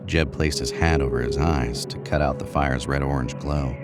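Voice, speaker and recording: A man's voice: rumbling low, fairly even intonation, normal speed, over loud music. A man narrating a scripted horror story.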